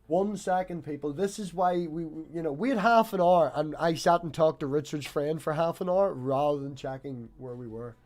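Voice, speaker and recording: A man's voice: fairly high pitch, normal speed, hardly any pauses, a little mellow. A man hosting a casual discussion in a jokey, informal way. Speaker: discussion host.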